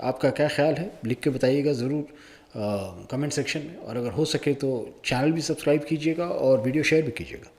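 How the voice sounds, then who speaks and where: A man presenting news commentary in an informal, opinionated way, often pausing mid-sentence. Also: a little high, a little mellow.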